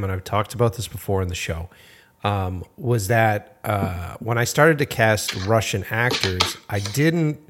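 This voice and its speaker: A man's voice: mid-range voice, fairly bright tone, slight background noise. A man hosting a casual interview, laid-back and loose, with lots of fillers and casual swearing. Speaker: podcast host and film director.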